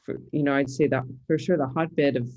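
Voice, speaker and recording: A woman's voice: extremely low pitch, steady tone, a little fast, gravelly, darkish tone, highs cut off. A woman with a Californian American accent, talking casually as an interview guest and thinking aloud with some hesitation. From California, United States. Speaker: interview guest, musicologist and fiddler.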